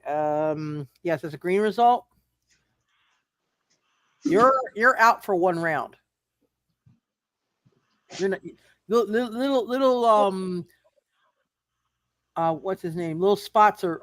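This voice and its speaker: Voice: medium pitch, no room sound. A game master narrating a tabletop roleplay casually, often repeating words and phrases while working out what happens.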